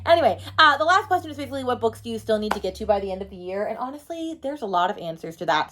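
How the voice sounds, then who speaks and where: Female book vlogger, very quick talker, mid-pitched, emphatic and slangy, casual delivery with gushing exaggeration. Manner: slangy, informal with frequent "like" and "okay". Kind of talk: casual chat to camera.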